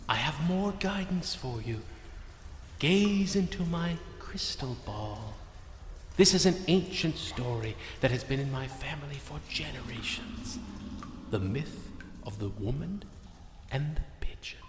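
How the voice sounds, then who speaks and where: An actor playing a mystical soothsayer in a comedy sketch, grand and ominous in manner. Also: male voice, medium pitch, animated, takes it slowly, pauses often. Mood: portentous. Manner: grand, ominous, drops into casual talk. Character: theatrical mystical male soothsayer in a cave. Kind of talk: comedy audio sketch acting. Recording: echoey cave reverb with faint noise.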